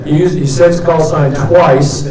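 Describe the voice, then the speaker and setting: A man's voice: a little high, steady tone, unhurried, crowd noise. Man teaching informally in an echoey room. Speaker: instructor leading a club training session. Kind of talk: informal teaching talk with questions to group. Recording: echoey room, distant mic, audience noise.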